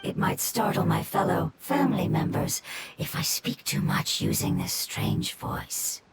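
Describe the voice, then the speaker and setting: A woman voicing a polite, literal-minded, scholarly fungus creature with a strange breathy voice in an anime game. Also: fairly low pitch, wildly swooping pitch, a little slow, heavy background noise, full character voice. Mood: polite, explanatory. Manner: formal, verbose, analytical, matter-of-fact.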